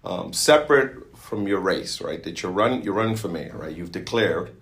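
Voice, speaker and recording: A male podcast host with a low, gravelly voice asks his questions conversationally. He often repeats and rephrases them as he goes. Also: expressive, normal speed. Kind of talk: interview questions.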